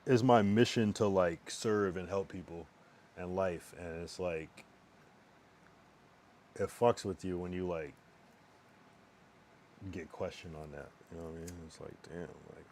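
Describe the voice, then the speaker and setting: A young man with a very low, worn-sounding voice rambles through a casual live stream, halting and restarting as he talks. He sits at a home streaming setup with his own microphone. Faint background noise hums underneath. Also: fairly even intonation, a little mellow. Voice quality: strained, worn voice.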